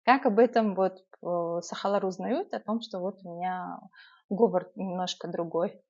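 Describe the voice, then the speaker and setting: A young woman from Yakutia with an urban Yakut accent, giving language-learning tips in a casual, lively vlog with frequent filler sounds. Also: on the low side, moderate pace, highs cut off. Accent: urban Yakut Russian. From Yakutia, Russia.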